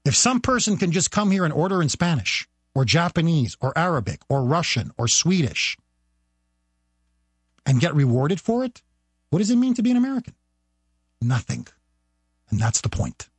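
A man guest-hosting a talk radio show, talking in a forceful, rhetorical way and speeding up as he presses his points. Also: sits high, sing-song, normal speed, long pauses, breathy edge, no echo. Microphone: studio microphone.

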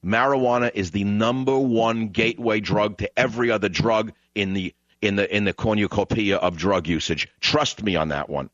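A man's voice: fairly low pitch, normal speed, few pauses, a bit bright, no room sound. A man hosting a call-in radio talk show, emphatic and combative, repeating lines for effect. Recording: dull radio broadcast sound.

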